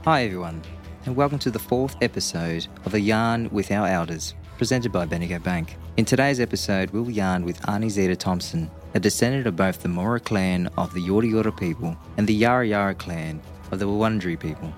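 Very deep-voiced man, formal welcome. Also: fairly quick, soft background music. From Australia. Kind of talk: formal scripted acknowledgement and introduction.

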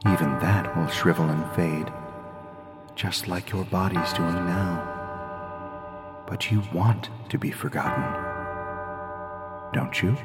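An actor playing a cruel voice that slowly taunts a dreamer toward death in an audio drama. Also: male voice, sits low, loud music behind the voice. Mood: taunting. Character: menacing male voice urging a dreamer to die.

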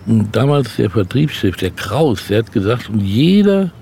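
A man's voice: on the low side, very animated, normal speed, creaky, dark tone, slight background noise. An older man, a novelist, chatting in an interview and rambling through anecdotes. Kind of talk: casual interview chat.

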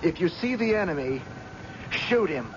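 A man's voice: fairly high pitch, very animated, moderate pace. An actor playing a desperate young man bent on revenge, insistent and threatening, in a radio drama. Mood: menacing. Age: young adult. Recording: dull, noisy old radio broadcast.

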